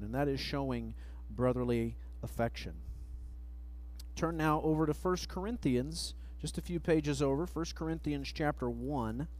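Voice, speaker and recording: A middle-aged man preaching a sermon at a church pulpit mic, reading scripture slowly and letting phrase ends trail off. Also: fairly high pitch, a little mellow, poor audio. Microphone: pulpit microphone, far camera.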